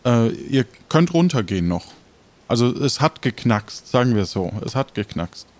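A man's voice: medium pitch, sing-song, normal speed, a little mellow. A man narrating an improvised audio adventure game as game master, conversational and feeling his way through the story.

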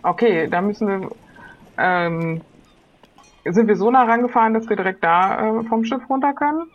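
A woman's voice: mid-range voice, moderate pace, lo-fi sound. A woman playing an improvised role-playing game in casual chat, thinking aloud hesitantly.

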